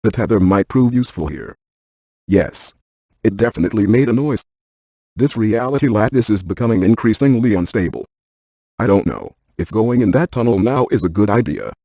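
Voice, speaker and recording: A man playing an analytical ghost-hunting scientist in a video game, speaking in a precise, technical, deadpan way. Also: on the low side, normal speed, breathy edge, no echo. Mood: deadpan, cautious. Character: earnest, analytical male scientist ghost hunter, matter-of-fact.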